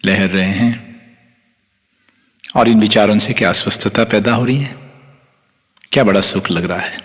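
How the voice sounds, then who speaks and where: A man with an Indian accent guiding a meditation as a teacher, calm, unhurried and soothing, leaving long pauses between phrases. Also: lowish voice. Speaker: meditation teacher, Reiki master guiding yoga nidra. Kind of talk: guided meditation, instructing listeners. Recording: long hall-like reverb on the voice.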